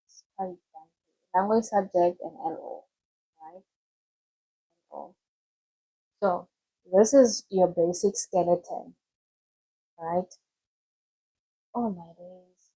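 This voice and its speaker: A woman's voice: on the low side, takes it slowly, long pauses, warm tone, slightly rough, poor audio. A young woman giving casual study-planning tips to camera, chatty and loose, often restarting her sentences. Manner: chatty, loose and self-correcting, with frequent restarts. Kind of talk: casual explanatory tutorial.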